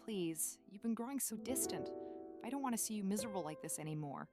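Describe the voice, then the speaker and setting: A woman voicing a caring friend who talks in a gentle, coaxing way. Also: medium pitch, lively intonation, quick pace, loud music behind the voice, close to an everyday voice. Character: caring female pilot friend, gentle, persistent.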